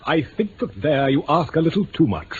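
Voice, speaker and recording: Haughty jilted British aristocrat, clipped and formal, lightly pitched, swooping intonation, vintage radio drama. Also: male voice, sing-song, normal speed, a little mellow. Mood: haughty, stiff. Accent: upper-class British. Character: stiff, wounded, haughty English lord, jilted bridegroom. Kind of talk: 1950s-60s BBC radio drama acting. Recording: dull vintage radio recording, faint noise.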